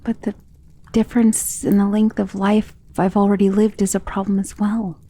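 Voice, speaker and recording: A woman playing a centuries-old, tender and protective vampire in an intimate ASMR romance roleplay, speaking softly and earnestly, often in a whisper. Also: mid-range voice, little pitch movement, moderate pace, close to an everyday voice. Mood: wistful, hesitant. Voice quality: soft, breathy, often dropping to a whisper.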